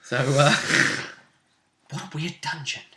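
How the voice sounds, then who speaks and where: A man working as game master, casually recapping a tabletop role-playing session with animated, emphatic storytelling in a roomy space. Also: on the high side, slow pace, very noisy. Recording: roomy, echoey sound.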